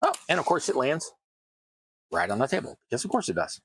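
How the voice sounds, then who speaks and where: A man's voice: medium pitch, huge pitch swings, fairly quick, vocal fry, a bit bright, slight background noise. Chatty American man rambling while crafting. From United States. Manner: rambling, chatty, self-deprecating asides. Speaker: terrain crafting streamer. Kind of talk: casual chat while crafting. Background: sounds of cutting at a worktable.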